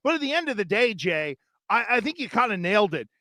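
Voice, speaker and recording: A man co-hosts a casual sports show, easygoing and measured as he weighs in. His voice sits quite high and sounds close and dry, with no room around it. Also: very animated, bright, forceful tone, dry recording. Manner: easygoing, agreeable reactions and measured opinions. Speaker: co-host. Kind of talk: casual sports radio chat.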